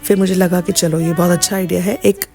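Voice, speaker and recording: Mellow, lower-pitched woman vlogger. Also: little pitch movement, fairly quick, gravelly. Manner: chatty, mixes English words into speech. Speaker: home and lifestyle vlogger. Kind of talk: casual vlog voice-over. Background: background music.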